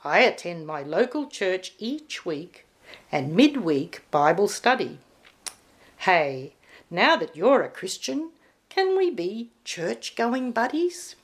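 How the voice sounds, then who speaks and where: A woman's voice: medium pitch, big pitch swings, slow. A woman voicing a cheerful, sheepish male Easter Bunny in a storybook poem, chummy and casual. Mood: breezy, friendly.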